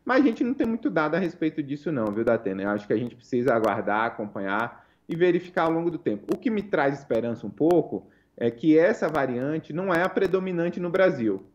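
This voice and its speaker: Higher-pitched Brazilian man, dull lo-fi sound. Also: very animated, a little mellow. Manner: measured, explanatory. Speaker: medical researcher, interview guest. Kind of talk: explanatory interview answers.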